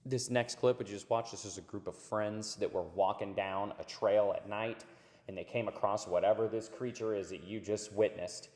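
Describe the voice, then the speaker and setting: Hoarse, raspy man with tunnel-like echo. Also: lowish voice, steady tone, normal speed, darkish tone. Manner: rambling, laid-back, says dude and man. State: hoarse after illness. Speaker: channel host. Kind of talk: casual commentary on clips.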